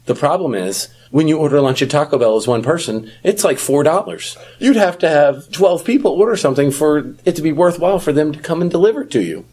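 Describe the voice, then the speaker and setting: A man's voice: on the high side, lively intonation, brisk, hardly any pauses, a little mellow, husky. A man in his thirties talking casually as the co-host of a podcast. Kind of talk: casual chat.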